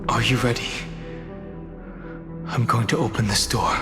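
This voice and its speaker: Man playing young adventurer, steady, determined, middle register, anime game, background music. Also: mid-range voice, unhurried, close to an everyday voice. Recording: music behind.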